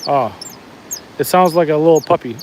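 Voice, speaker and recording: Chatty New Jersey-accented man, slightly high. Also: lively intonation, moderate pace, darkish tone, slight background noise. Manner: chatty, answers viewer comments, frequent restarts. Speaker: fishing livestream host. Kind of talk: casual live-stream chat while fishing. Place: outdoors at a fishing spot, walking the bank.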